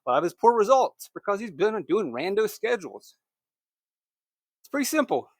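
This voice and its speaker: A man's voice: sits high, sing-song, normal speed, no room sound, clean studio sound. A man hosting a solo fantasy racing picks show, talking in a conversational, emphatic way that corrects itself, his throat a little scratchy.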